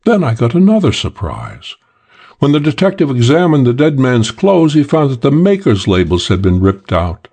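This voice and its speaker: A man voicing a suave, self-assured English gentleman thief telling a wry crime story for an audiobook, with old-fashioned British slang. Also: mid-range voice, huge pitch swings, moderate pace, husky, exaggerated voice. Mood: tense, composed.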